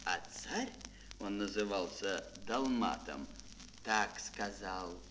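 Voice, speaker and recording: A man's voice: on the low side, slow pace, a bit bright, a little hiss or hum, rough recording. A man narrating a children's fairy tale in a theatrical, declamatory storytelling style. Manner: dramatic, declamatory. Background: prominent music.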